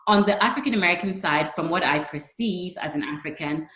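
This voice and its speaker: A woman's voice: on the low side, brisk, a bit bright, roomy sound. A woman, giving considered remarks as a webinar panelist. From Ghana. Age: 30s.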